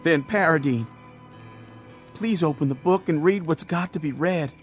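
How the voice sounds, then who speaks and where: An actor playing a desperate Confederate soldier, urging and pushing in an old-time radio drama. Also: male voice, on the high side, normal speed, natural voice. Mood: urgent. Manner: urgent, pushing. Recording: old radio recording with music.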